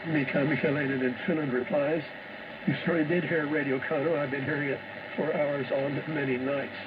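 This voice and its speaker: A man hosting a shortwave radio programme, reading a scripted news bulletin in a deliberate way. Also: fairly high pitch, fairly even intonation. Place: heard over a shortwave receiver. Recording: noisy muffled shortwave radio reception with static.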